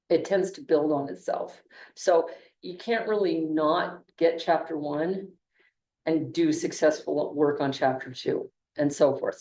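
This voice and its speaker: A woman teacher talks to her class in a loose, conversational way, circling back on her sentences. Her voice sits low and mellow. She is picked up from across an echoey classroom. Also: far from the mic. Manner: conversational, with frequent restarts and "okay". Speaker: engineering instructor. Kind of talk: classroom lecture.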